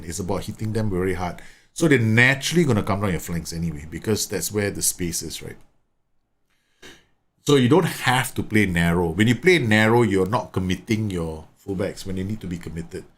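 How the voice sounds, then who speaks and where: A man from Singapore, chatting and explaining tactics while live streaming a game, often repeating words. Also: fairly low pitch. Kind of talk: live gameplay commentary and chat.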